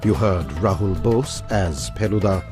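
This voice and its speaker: Male announcer, low-pitched, scripted broadcast credits, prominent music underneath. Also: expressive, measured pace. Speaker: announcer reading closing credits.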